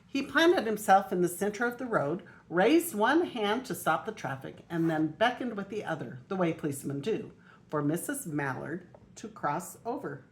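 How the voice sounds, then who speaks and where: An older woman, a grandmother, reading a children's picture book aloud in an expressive way. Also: on the low side, normal speed. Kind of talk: storybook read-aloud.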